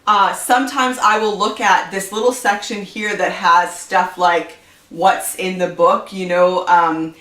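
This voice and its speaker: A woman's voice: lowish voice, fairly even intonation, unhurried, bright, forceful tone, echoey room, far from the mic. A woman chatting casually about her reading habits on her book channel, stuffed up from allergies and rambling with lots of fillers. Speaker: book vlogger. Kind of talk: casual chat to camera.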